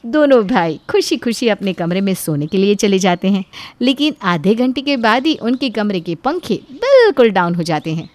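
Expressive woman narrating a story. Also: mid-range voice, normal speed, dry recording.

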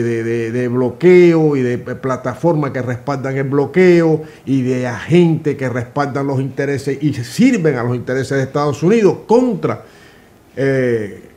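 Indignant older man, halting and emphatic. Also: mid-range voice, darkish tone. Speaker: guest commentator. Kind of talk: political commentary chat. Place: small office studio with computers.

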